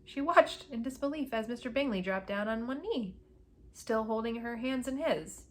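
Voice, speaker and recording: A woman narrating a novel in an expressive, dramatic storybook read-aloud style with emphatic phrasing. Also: a little high, moderate pace.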